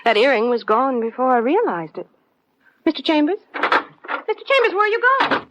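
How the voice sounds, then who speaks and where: A woman's voice: high voice, big pitch swings, normal speed, fairly bright tone, a little hiss or hum. A woman playing a poised, flirtatious heiress in a vintage radio detective drama. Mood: calling out. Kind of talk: 1940s-50s radio drama acting. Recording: muffled old radio recording.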